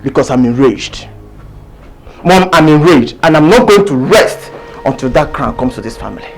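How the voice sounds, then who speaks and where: A mid-pitched, forceful voice of a defiant young prince, stubborn and set on the throne. Faint music runs behind. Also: male voice, expressive, measured pace. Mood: enraged.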